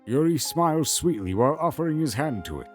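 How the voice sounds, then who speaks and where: A man's voice: a little high, sing-song, a little fast, a little mellow, faint music behind. A man narrating a scripted fantasy audio drama in the present tense.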